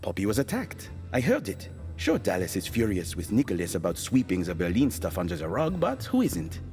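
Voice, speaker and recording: An actor playing an irritable, world-weary hired killer in an audio drama, dry, sarcastic and menacing. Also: male voice, low-pitched, wildly swooping pitch, a little fast, mellow, big-room sound, soft background music, heavily acted. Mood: accusing.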